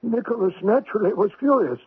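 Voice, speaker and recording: An actor playing a deferential, shifty household steward in a 1970s radio drama, obsequious and hesitant, with a raspy voice. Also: male voice, high-pitched, lively intonation, fast talker, heavily acted. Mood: insinuating. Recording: tinny vintage radio broadcast.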